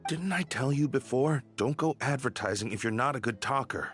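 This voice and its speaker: An actor playing a stern, jaded but protective patrol captain in a video game, firm and dry in manner. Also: male voice, mid-range voice, brisk, fairly bright tone, close to an everyday voice. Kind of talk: video game character acting. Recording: music behind the voice. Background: game music.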